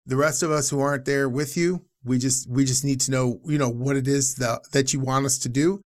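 A man's voice: medium pitch, gravelly. Guy hosting a podcast, earnest and rambly, chuckles a lot. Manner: earnest, rambling, laughs often. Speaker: podcast host. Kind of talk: casual podcast conversation.

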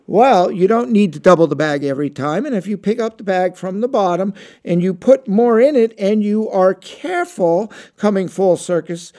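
A man's voice: on the high side, darkish tone. A man talking in a casual, earnest monologue, rambling a little as he reflects. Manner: earnest, rambling, slow and thoughtful.

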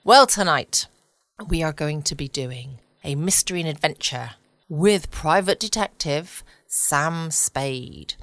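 A woman's voice: low voice, animated, slow, a bit bright, no room sound. A young English woman hosting a cozy late-night podcast from home, chatty and soothing. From England. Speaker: podcast host.

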